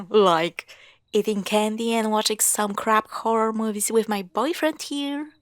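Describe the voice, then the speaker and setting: A woman playing a playful, sarcastic young girlfriend in a spooky roleplay audio drama, teasing and expressive. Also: mid-range voice, unhurried, no echo, natural voice. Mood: playful, affectionate. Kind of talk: spooky roleplay audio drama, acted one-sided dialogue.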